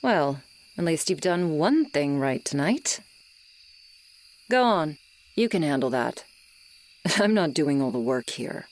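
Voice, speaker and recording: A woman's voice: deep voice, big pitch swings, a little mellow, full character voice. A woman voicing a bossy, exasperated but secretly caring female swamp monster in an ASMR audio roleplay. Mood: gruff, caring. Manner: exasperated, bossy, scolding, deliberate.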